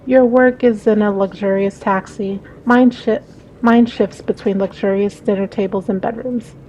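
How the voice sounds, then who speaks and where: A woman's voice: medium pitch, steady tone, normal speed, warm tone, muffled recording, slight background noise, close to an everyday voice. A woman voicing a lonely, wistful female passenger in a visual novel, musing and hesitant. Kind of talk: visual novel read-aloud.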